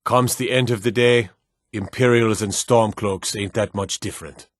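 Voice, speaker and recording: Man acting a gruff, low-voiced fantasy Nord guard. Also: steady tone, moderate pace, no echo, studio quality, close to an everyday voice. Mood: blunt, matter-of-fact. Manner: blunt, curt, folksy rustic phrasing. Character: gruff, proud Nord guard, blunt and dutiful fantasy warrior. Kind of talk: fantasy video game character dialogue.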